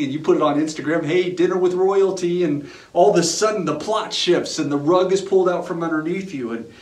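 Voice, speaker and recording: Higher-voiced male preacher in a roomy space. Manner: casual, colloquial, repeats phrases for emphasis. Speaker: pastor. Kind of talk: sermon with conversational storytelling and humor. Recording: roomy, echoing sound.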